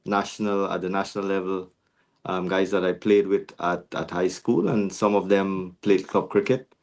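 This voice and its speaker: A man's voice: sits low, steady tone, normal speed. A man in his forties from Guyana, talking reflectively as a podcast guest with frequent restarts. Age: 40s. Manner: reflective, many restarts and fillers. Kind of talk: casual interview chat.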